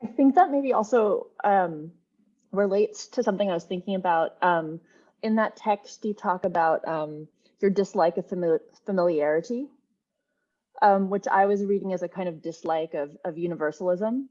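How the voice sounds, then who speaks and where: A woman's voice: fairly low pitch, normal speed, dark tone, muffled recording. A woman on a panel posing long, hedged questions with many fillers over a video call. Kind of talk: academic discussion question.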